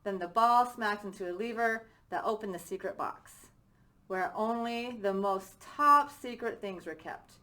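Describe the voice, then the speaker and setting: A woman's voice: medium pitch, measured pace, bright, forceful tone. A woman teacher reading a children's story aloud as a narrator.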